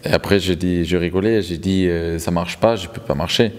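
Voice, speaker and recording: Low deep guy interpreting a testimony live, kinda hesitant, rewords stuff, echoey hall reverb. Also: steady tone, brisk, darkish tone. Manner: hesitant, repeats and reformulates words.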